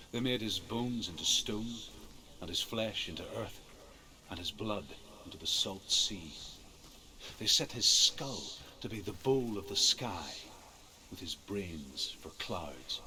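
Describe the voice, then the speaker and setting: A man playing a solemn storyteller of old myths in a game, with measured, emphatic delivery. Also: deep voice, bright, forceful tone, slight background noise, exaggerated voice, low-quality recording. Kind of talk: dramatic myth storytelling in a game.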